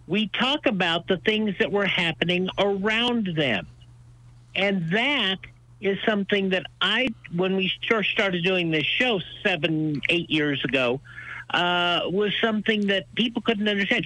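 Higher-pitched older American man, dry studio sound. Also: pushed and bright, no echo, low-quality recording. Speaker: radio show host being interviewed as guest. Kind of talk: conversational radio interview, storytelling.

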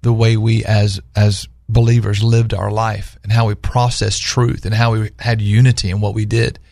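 A man's voice: lowish voice, level tone, moderate pace, creaky, darkish tone, no echo, clean studio sound. A man speaking as a guest on a radio talk panel, earnestly telling stories and posing rhetorical questions in conversational religious discussion.

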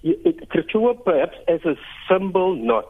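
A South African man whose first language is Afrikaans speaks as a radio interview guest. His voice sits a little high, and he feels his way through sentences, repeating words for emphasis. He comes through a muffled, narrow phone line. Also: big pitch swings, moderate pace, slightly rough. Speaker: interview guest, heritage site chief executive.

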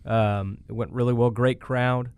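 A man co-hosting a radio talk show about auctions, relaxed and easygoing with many fillers. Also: mid-range voice, a little slow, smooth, dry recording. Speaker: auctioneer co-host. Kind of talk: casual radio chat.

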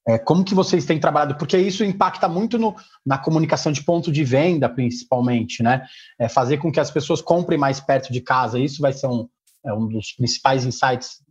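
A man hosting a podcast interview, asking slow, halting questions with restarts. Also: medium pitch, husky. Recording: dull lo-fi mic.

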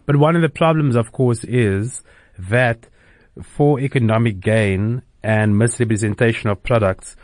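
A man's voice: medium pitch, measured pace, a little mellow, no room sound. A man hosting a radio interview, speaking hesitantly with many fillers. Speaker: radio host. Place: radio studio.

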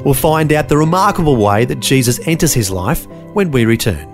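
Radio host guy, smooth announcer read, voice goes up and down a lot, music playing under him. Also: mid-range voice, very animated, brisk. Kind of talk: scripted radio links. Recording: radio link over a music bed.

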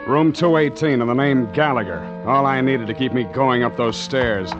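An actor playing a tough, suspicious ship's skipper in 1940s radio drama, clipped and sardonic, on a muffled old recording. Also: male voice, medium pitch, normal speed, music in the background, natural voice. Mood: grim, narrating. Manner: clipped, hard-boiled, sardonic. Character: tough, suspicious sea skipper out for answers, hard-boiled hero.

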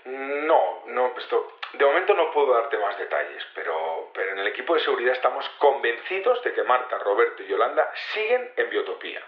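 An actor playing a patient but hesitant security investigator being interviewed in a naturalistic audio drama, explaining things colloquially with repetitions and tag questions. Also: male voice, medium pitch, big pitch swings, a little fast, few pauses, roomy sound, muffled recording, natural voice. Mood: explanatory, guarded. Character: male security investigator, patient, hesitant, self-assured in his theory.

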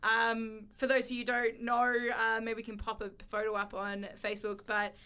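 A woman's voice: on the high side, completely flat delivery, moderate pace, pushed and bright, smooth. A woman co-hosting a radio show, chatting casually with wry, mock-boastful humour. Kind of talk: casual comic chat.

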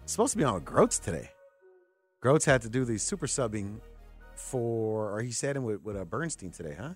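A man's voice: mid-range voice, fairly quick, husky, soft background music. A man hosting sports radio, chatting casually and telling stories with acted-out dialogue. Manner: animated storytelling with acted-out dialogue. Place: radio studio.

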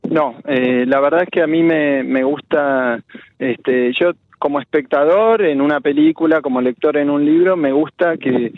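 A man's voice: on the high side, animated, moderate pace, no room sound. A man from Argentina with a Buenos Aires accent, an author answering interview questions thoughtfully, with many restarts and fillers. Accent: Buenos Aires Spanish. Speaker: children's story author, guest. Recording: dry tinny phone-like line.

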